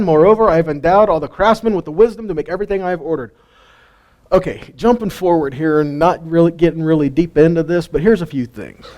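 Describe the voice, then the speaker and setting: A middle-aged man with a drawl walks through a Bible passage in a relaxed, folksy manner. He keeps asking his listeners questions and chuckles between points. His voice sits a little higher than most men's. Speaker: Bible teacher. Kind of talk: casual Bible teaching.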